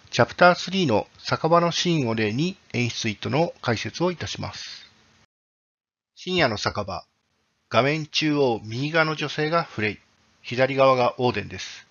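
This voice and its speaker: Man, scripted tech talk, formal, explanatory, mid pitch, slightly muffled lo-fi recording. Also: fairly bright tone. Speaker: presenter, game developer. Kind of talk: scripted technical presentation.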